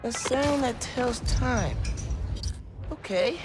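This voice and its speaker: An actor voicing an earnest teenage boy superhero with a youthful, lively delivery in a game cutscene. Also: very high voice, very slow, music in the background, heavily acted.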